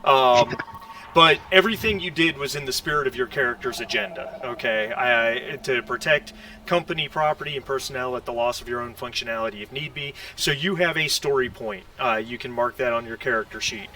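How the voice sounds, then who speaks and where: A man's voice: medium pitch, brisk, bright, forceful tone, a little hiss or hum, low-quality recording. A man hosting a tabletop roleplaying game as game master, talking casually in an encouraging, explanatory way.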